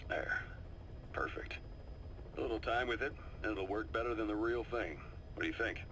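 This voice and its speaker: A man playing a seasoned, calm and knowing military adviser in a video game, briefing his commander in a measured, confiding storytelling delivery. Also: lowish voice, noisy background, natural voice. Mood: encouraging, practical. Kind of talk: video game voice acting, dramatic briefing monologue. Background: music.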